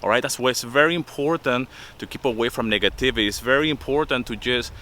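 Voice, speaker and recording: Vlogger, 50s, Venezuelan accent, earnest motivational delivery, bright timbre. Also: male voice, mid-range voice, quick pace. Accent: Venezuelan Spanish. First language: Spanish. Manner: earnest, repeats points with "okay?". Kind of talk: motivational talk to camera.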